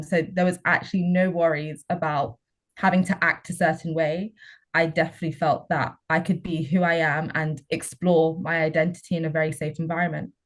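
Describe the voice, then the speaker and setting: A young woman, a final-year student, talking openly and upbeat on a panel. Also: sits low. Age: young adult. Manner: open, upbeat, reflective. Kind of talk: panel discussion.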